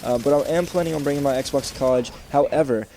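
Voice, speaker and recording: A young man giving rambling casual commentary over gameplay, sounding congested and clearing his throat, with lots of fillers and restarts. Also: medium pitch, fast, dark tone, slight background noise. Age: young adult. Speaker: gaming channel host.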